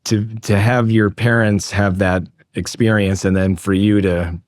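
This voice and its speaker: A man's voice: fairly low pitch, level tone, a little slow, no room sound, studio quality. A man hosting an interview in a conversational style, affirming his guest and rephrasing his follow-up questions as he goes. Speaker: interviewer, host.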